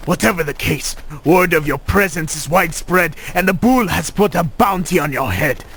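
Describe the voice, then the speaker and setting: Creaky, sneering merchant. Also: male voice, on the high side, animated, normal speed, a bit bright, slight background noise. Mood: mocking. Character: sneering, double-dealing male merchant in medieval Cyprus.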